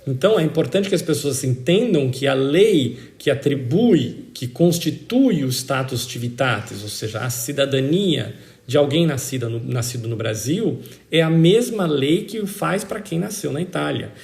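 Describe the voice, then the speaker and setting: A man's voice: a little high, lively intonation, normal speed, vocal fry, a little mellow. Emphatic Brazilian man, roomy sound. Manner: emphatic, didactic, repeats key phrases for stress. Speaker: citizenship expert being interviewed. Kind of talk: explanatory discussion of law.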